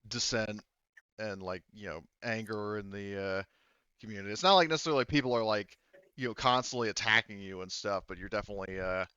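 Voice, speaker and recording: A man casually running a tabletop game as game master, talking in a halting way and often rephrasing as he explains. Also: lowish voice, pushed and bright, clear, resonant tone, no echo, muffled recording.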